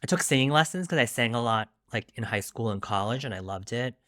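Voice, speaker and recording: A man's voice: mid-range voice, animated, fast talker, fairly bright tone, dry recording, studio quality. A man in his late forties answering interview questions conversationally as a debut novelist, reflective and a little self-deprecating.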